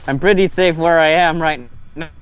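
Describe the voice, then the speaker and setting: A man's voice: fairly high pitch, moderate pace. A man playing a tabletop game, thinking aloud hesitantly in casual chat.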